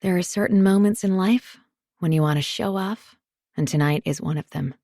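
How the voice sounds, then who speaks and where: A woman narrating a thriller audiobook in first person, in a measured, confiding way. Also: fairly low pitch, dark tone, no echo, studio quality.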